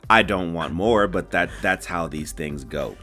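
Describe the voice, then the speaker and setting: A man's voice: low voice, measured pace, sharp tone, soft background music. A man co-hosting a casual wrestling chat, talking in laid-back, drawling banter. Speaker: co-host.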